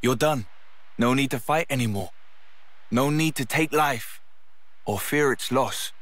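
An actor playing a laid-back, cheerful young British fighter in a video game cutscene, speaking in a breezy, colloquial, reassuring way. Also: male voice, mid-range voice, measured pace, a bit bright, natural voice. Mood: firm, reassuring.

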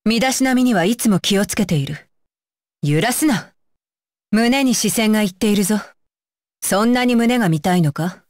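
A woman's voice: mid-range voice, expressive, normal speed, pauses often, darkish tone, dry recording, studio quality, natural voice. A woman voicing a stern, dutiful young female ninja bodyguard for an anime game, with clipped, commanding and blunt phrasing. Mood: flustered, guarded. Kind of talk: Japanese anime game voice lines.

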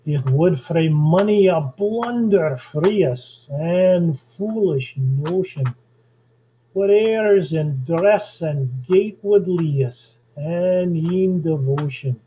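A man putting on a Scottish accent to recite a stanza of old Scots verse slowly and carefully. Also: a little high, expressive, slight background noise. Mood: solemn. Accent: put-on Scottish. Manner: slow, measured recitation. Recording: dull lo-fi video call audio.

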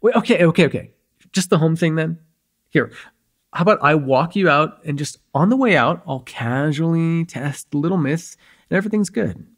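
Man acting a breathy, cocky young male vampire. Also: fairly high pitch, animated, moderate pace. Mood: coaxing. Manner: rambling, playful, slangy, hesitant asides. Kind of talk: immersive ASMR roleplay acting, casual and conversational.

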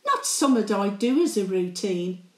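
An older woman playing a chatty, cheeky, warm-hearted working-class Birmingham great-grandmother, gossiping and rambling through a monologue. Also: medium pitch, expressive, moderate pace, reverberant, lightly acted. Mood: pleased, chatty. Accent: Birmingham (Brummie). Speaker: actor performing a dramatic monologue.

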